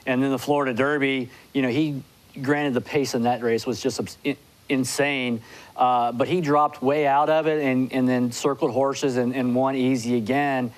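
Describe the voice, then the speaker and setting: A man's voice: mid-range voice, poor audio. Man guest analyzing horse races. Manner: talks in quick bursts with many restarts and "you know"s. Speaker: guest horse racing handicapper. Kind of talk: studio interview. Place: TV studio with city skyline backdrop. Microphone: lapel mic.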